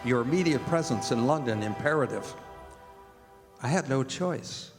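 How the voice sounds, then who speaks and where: A man's voice: mid-range voice, expressive, normal speed, over music, close to an everyday voice. Man acting an earnest doctor in echoing hall. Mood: earnest, formal. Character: earnest doctor, anxious devoted fiancé, narrating. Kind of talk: radio drama narration and dialogue. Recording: echoey hall, live stage performance.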